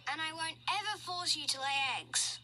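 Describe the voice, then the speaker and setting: A woman's voice: sits high, normal speed, pitched above the performer's own voice, close to an everyday voice, rough recording. A woman voicing a brave, eager young boy who speaks with urgency.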